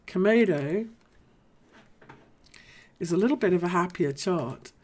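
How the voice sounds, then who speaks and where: A woman with a deep, low voice hosts a live market analysis stream. She is chatty and informal and thinks aloud as she works through each point. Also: lively intonation, a little slow, darkish tone. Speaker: host.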